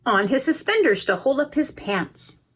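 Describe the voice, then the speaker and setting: A woman reading a picture book aloud to young children, expressively, pausing to comment on the pictures. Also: high-pitched, big pitch swings, husky. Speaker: preschool educator reading a storybook. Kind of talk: read-aloud storytime with asides to young children.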